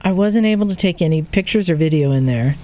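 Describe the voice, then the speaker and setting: A woman chatting casually as a podcast co-host, telling a story in an animated way and acting out what people said. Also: on the low side, quick pace, a little hiss or hum. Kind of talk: casual storytelling in a group chat.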